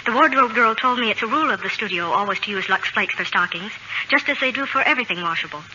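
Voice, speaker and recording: A woman's voice: on the high side, lively intonation, quick pace, pushed and bright. A young woman, a guest actress, giving eager, scripted answers in a 1940s radio interview. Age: young adult. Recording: muffled old radio broadcast, faint noise.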